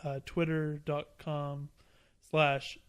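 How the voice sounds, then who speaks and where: A man with a slightly high voice, chatting as a podcast guest. He rambles and trips over his words in an easy, casual way. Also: monotone, slow pace, clean tone. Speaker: guest, streamer.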